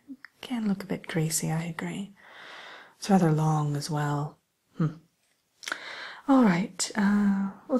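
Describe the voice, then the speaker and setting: Woman playing a polite matchmaker, breathy ASMR whisper, unhurried, hesitant, accommodating, soft dark tone. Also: lowish voice, exaggerated voice. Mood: hushed, attentive. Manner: hesitant, soothing, deferential, with thoughtful pauses. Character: polite, accommodating female matchmaker at a dating service for villains.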